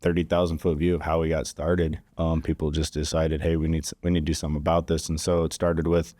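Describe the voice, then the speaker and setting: Low mellow guy from kansas, laid back podcast guest, dry close sound. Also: level tone, fast talker, dry recording. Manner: easygoing, explains with many fillers. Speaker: guest, conservation group regional director. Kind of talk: casual podcast chat. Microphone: studio podcast mic.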